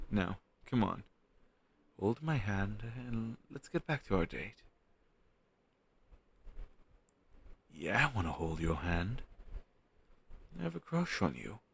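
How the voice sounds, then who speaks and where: A young man playing a shy, lovestruck best friend in an intimate romantic audio roleplay, speaking in a halting, tender and teasing way. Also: medium pitch, vocal fry, husky, heavily acted. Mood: playful, affectionate.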